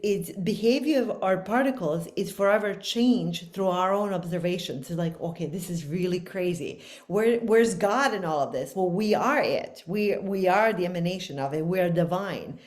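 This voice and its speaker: A woman's voice: mid-range voice, darkish tone. A woman from Bosnia with a Bosnian accent, speaking earnestly and expansively as a podcast guest. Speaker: guest author. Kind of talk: casual podcast conversation.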